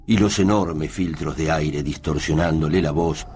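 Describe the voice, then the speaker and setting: A man's voice: very deep voice, moderate pace, a little mellow. A man narrating a radio drama in a solemn, literary style. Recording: noisy ambient sound bed.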